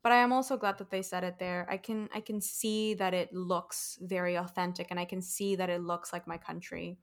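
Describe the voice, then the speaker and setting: A woman's voice: on the low side, little pitch movement, fairly quick. A young woman from Colombia, hosting a casual film review chat, thoughtful and full of fillers. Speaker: host.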